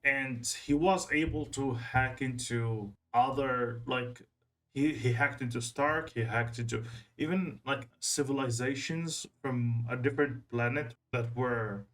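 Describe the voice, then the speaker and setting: A man with a mid-pitched voice gives casual reaction commentary. He rambles off the cuff, halting and circling back over his words as he thinks aloud. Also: fairly even intonation, fairly bright tone, rough recording. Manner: rambling, halting, repeats himself. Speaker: reaction video host.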